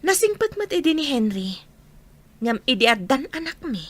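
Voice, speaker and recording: A woman's voice: high voice, sing-song, moderate pace. A woman acting a weary, bitter single mother in a Filipino audio drama.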